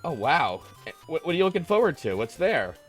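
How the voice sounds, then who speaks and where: A man's voice: a little high, very animated, a little fast, soft background music. A man hosting a casual live stream, chatting with callers as he plays a game. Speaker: podcast and stream host. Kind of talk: casual live call-in chat while gaming.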